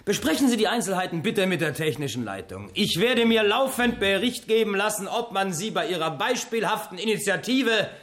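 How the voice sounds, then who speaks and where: An actor in a radio drama playing a pompous, officious Nazi-era factory boss who speaks effusively. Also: male voice, high-pitched, lively intonation, a little fast, hardly any pauses, fairly bright tone. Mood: pompous approval. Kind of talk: German radio drama acting.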